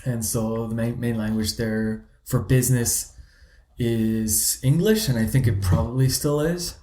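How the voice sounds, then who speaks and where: A man's voice: medium pitch, a little slow, mellow. Guy from zimbabwe chatting, lots of restarts, roomy echo. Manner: hesitant, many restarts and fillers. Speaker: guest. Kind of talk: casual interview talk.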